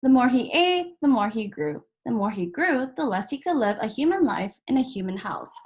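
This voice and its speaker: A woman with a fairly high voice reads a picture book aloud for children. She works through the story in measured, short phrases, leaving small pauses between them. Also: sing-song, breathy edge. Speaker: volunteer story reader.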